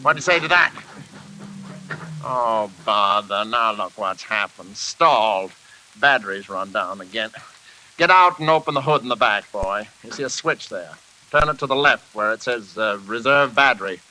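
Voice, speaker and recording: A man's voice: on the low side, expressive, moderate pace, sharp tone, rough recording. An actor playing a bitter, bullying invalid father who scolds and barks orders, in the style of 1940s American radio drama. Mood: scolding, sneering. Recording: hissy vintage radio recording.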